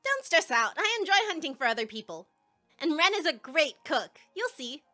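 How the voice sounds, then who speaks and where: Brief: boisterous young female warrior, bright and very high, exuberant cartoon delivery, light music underneath. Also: sing-song, normal speed, heavily acted. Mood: friendly, reassuring. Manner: exuberant, dramatic, swinging into loud outbursts. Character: excitable, boisterous young warrior woman who loves hunting. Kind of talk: animated fandub acting.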